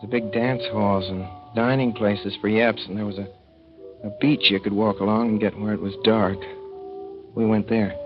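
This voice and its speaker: A man's voice: lowish voice, little pitch movement, moderate pace, close to an everyday voice. An actor playing an earnest, lovesick young racetrack worker, telling his story in folksy slang for a 1930s radio drama. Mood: wistful. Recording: dull old radio recording with music.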